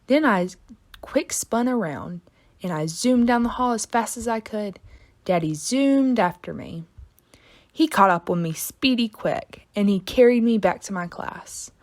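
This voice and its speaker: A woman narrating a children's book aloud in an expressive, dramatic storytelling style. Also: mid-range voice, unhurried.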